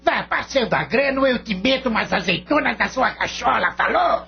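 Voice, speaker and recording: Radio drama mugger, acted by a man: menacing and slangy, badly rasping voice over music, dull sound. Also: extremely high pitch, lively intonation, a little fast, full character voice. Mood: menacing, mocking. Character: menacing male street robber, slangy and mocking. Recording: muffled audio, music behind.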